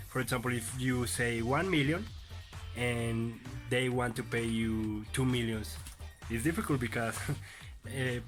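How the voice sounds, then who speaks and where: A young man presenting interview advice in halting English, with frequent restarts. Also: mid-range voice. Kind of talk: casual advice video.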